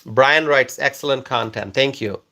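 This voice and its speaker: Slow-talking Bangladeshi-born man, live streamer. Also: mid-range voice, bright, forceful tone. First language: Bengali. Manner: slow, repetitive, coaxing viewers. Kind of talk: live stream chat, reading comments.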